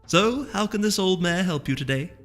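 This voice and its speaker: Man putting on an elderly mare, pitched up. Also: lively intonation, moderate pace, fairly bright tone. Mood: friendly. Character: kindly elderly female pony shopkeeper. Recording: long reverb with music underneath.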